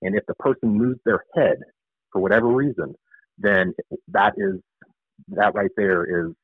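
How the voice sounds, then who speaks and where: A man's voice: on the low side, lively intonation, a little slow, slightly rough, dry recording. A man giving informal, explanatory answers as a guest in a radio interview, often rephrasing himself. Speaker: interview guest, author and air traffic controller. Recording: narrow phone-like line.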